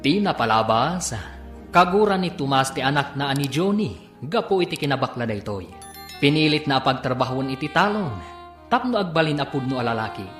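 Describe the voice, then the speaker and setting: Dramatic radio announcer, animated, over music. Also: medium pitch, moderate pace. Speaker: announcer, narrator. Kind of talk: dramatic scripted radio serial narration. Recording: echoey radio studio, clear music behind.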